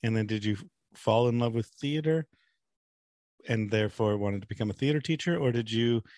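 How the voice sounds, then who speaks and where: A man's voice: medium pitch, a little fast, a little mellow, dry recording. A man hosting a casual interview, joking easily and asking loose, rambling questions. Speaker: podcast host.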